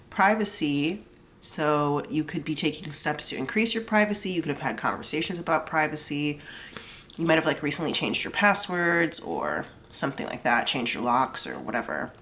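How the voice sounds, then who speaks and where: A woman's voice: low-pitched, a bit bright, slight background noise. A young woman with a stuffed-up nose from a cold, chatting casually through a tarot reading and explaining each pull with lots of "like". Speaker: tarot reader.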